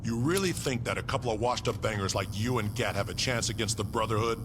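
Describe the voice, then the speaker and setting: A man's voice: lowish voice, quick pace, natural voice. An actor playing an arrogant, intimidating male gang boss with a blunt manner in a video game cutscene. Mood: taunting. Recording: loud background noise.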